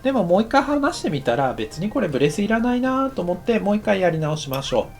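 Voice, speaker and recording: A man giving a casual, explanatory tutorial as an anime director, thinking aloud in short halting phrases. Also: high voice, very animated. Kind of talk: instructional lesson narration, casual and explanatory.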